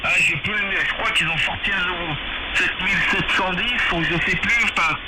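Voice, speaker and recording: A man's voice: sits high, normal speed. A man chatting casually as a radio operator over a two-way radio link, hesitant and searching for his words. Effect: two-way radio transmission. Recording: very noisy radio transmission, heavy static.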